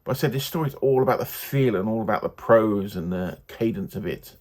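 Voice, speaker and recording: Low, husky man, halting casual talk. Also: darkish tone. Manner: hesitant, halting, rambling. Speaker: book reviewer. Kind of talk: casual unscripted book review.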